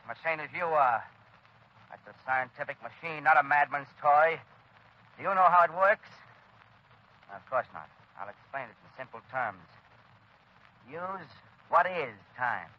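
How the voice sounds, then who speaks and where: An actor in 1930s radio style playing a deranged, bitter inventor bent on murder, declaiming grandly and emphatically. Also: male voice, fairly high pitch, lively intonation, a little slow, long pauses. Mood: lecturing, fervent. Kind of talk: 1930s radio drama acting. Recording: dull old 1930s radio broadcast.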